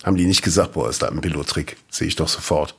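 A man co-hosting a podcast, chatting casually and telling rambling, animated stories with lots of restarts. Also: a bass voice, quick pace, slightly rough. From Eifel region, Germany. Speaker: podcast co-host. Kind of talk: casual chat.

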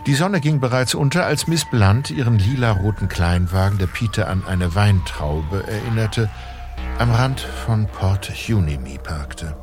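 A man reading scripted narration for an audio drama in a measured, suspenseful storytelling manner. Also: on the low side, over music.

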